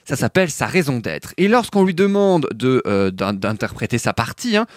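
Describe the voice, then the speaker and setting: A man's voice: medium pitch, big pitch swings, moderate pace, no echo, studio quality. A man presenting music on the radio in a chatty, conversational way, filling his talk with small fillers. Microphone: studio microphone.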